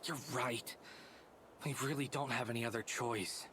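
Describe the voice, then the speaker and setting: An actor voicing a squeaky, excitable little henchman in a video game, panicky and pleading. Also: moderate pace, a little hiss or hum, lightly acted. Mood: resigned.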